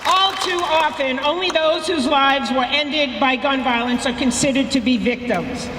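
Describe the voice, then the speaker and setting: A middle-aged woman giving a prepared, emphatic speech at an outdoor rally. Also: high voice. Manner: measured, earnest, emphatic. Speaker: rally speaker. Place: outdoor rally in front of a courthouse. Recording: echoey public address outdoors, crowd noise.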